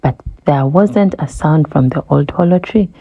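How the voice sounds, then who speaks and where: A woman reads a rhyming children's story aloud with measured expression. Her voice is fairly low, mellow and a little husky. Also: lo-fi sound. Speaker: children's book narrator.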